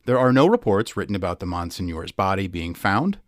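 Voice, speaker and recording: A man's voice: low-pitched, sing-song, fairly quick, no echo, studio quality. A man reading a newspaper article aloud as scripted true-crime podcast narration.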